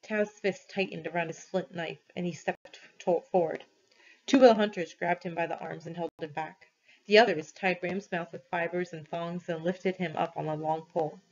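A woman's voice: on the low side, fairly even intonation, normal speed, darkish tone, muffled recording. A woman reading a story aloud expressively, as a teacher does for students.

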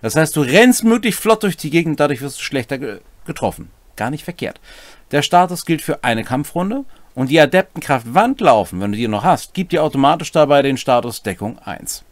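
A middle-aged man talks through game rules with a lively, swinging pitch. He mixes reading with chatty, casual asides, and the sound is close and dry. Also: fairly quick, dry recording. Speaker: co-host explaining tabletop game rules. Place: small home room, indoors.

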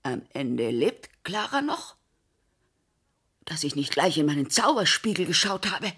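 A murderous, swinish queen in a radio play. Her voice is very raspy and creaky, and she savours her words with wide, theatrical swings of pitch. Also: very animated, a little slow, a bit bright, heavily acted. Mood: menacing, vengeful. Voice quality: hoarse, rough voice. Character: evil pig-like queen, greedy, murderous, grunting villainess.

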